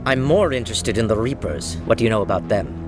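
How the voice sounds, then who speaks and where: A cold, aloof councilor, voiced by a performer, who is dismissive and slow to speak. Game music plays behind. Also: medium pitch, expressive, natural voice.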